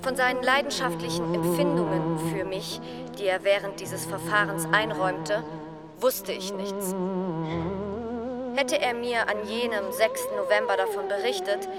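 Woman playing a composed witness over loud music. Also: mid-range voice, natural voice. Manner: measured, formal, controlled. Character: composed, formal female witness testifying in court. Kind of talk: German radio play acting.

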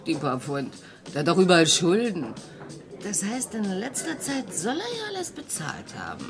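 Brash nightlife hostess, radio crime play, flirty drawl, slightly low, lively swings, worldly-wise, music behind. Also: female voice, normal speed. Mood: gossiping, scornful.

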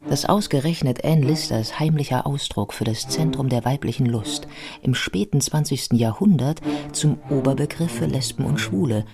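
A man narrating a scripted biographical radio play in a measured, scholarly manner. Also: medium pitch, creaky, close to an everyday voice.

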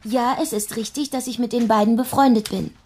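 An actress playing a principled young shop assistant, giving a formal, precise police statement in a radio play. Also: female voice, on the high side, fairly even intonation, fairly quick, darkish tone, slight background noise, close to an everyday voice. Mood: earnest, matter-of-fact.

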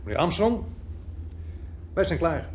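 An actor playing a firm, probing police inspector in a crime radio drama. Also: male voice, fairly high pitch, very animated, measured pace. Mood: probing, restrained. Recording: echoey, slightly noisy archived radio drama.

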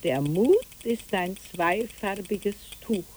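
A woman's voice: expressive, darkish tone. An actress playing a dreamy, musing country woman who knits, in a literary radio play. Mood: musing, probing. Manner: slow, musing, swooping in pitch. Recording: hissy old radio recording.

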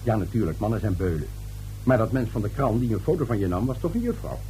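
A middle-aged family man in a radio drama, brusque and domineering. His voice is mellow and swings widely in pitch as he scoffs and waves things away. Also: big pitch swings, quick pace, soft background music, low-quality recording. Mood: dismissive. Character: domineering, dismissive middle-aged father and husband. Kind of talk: radio play acting.

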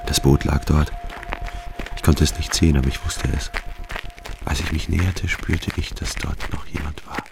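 An actor playing a calm, brooding man in a hushed inner monologue for a radio play. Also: very deep voice, moderate pace, gravelly, long pauses, music in the background. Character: calm, brooding man narrating a walk through darkness.

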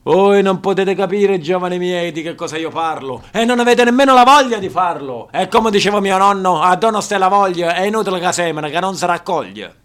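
A man's voice: high voice, lively intonation, brisk, few pauses, fairly bright tone. A middle-aged man with a southern Italian accent, performing a declamatory theatrical monologue for radio. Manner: declamatory, switching between characters and voices. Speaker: stage actor performing a monologue.

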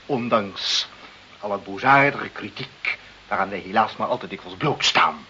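A dull, hissy old radio play recording of a self-important male director. He blusters and pontificates with a wildly swinging pitch, peevish and muddled, always fishing for agreement. His voice cuts through bright and forceful. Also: animated, a little slow, heavily acted. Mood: blustering, muddled. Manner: pompous, bureaucratic, blustering, seeking agreement.

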